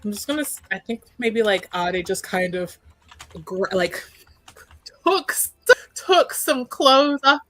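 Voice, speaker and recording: A woman's voice: on the high side, takes it slowly, soft background music. A woman playing a tabletop roleplaying game, chatting casually and playfully as she improvises. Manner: animated, playful.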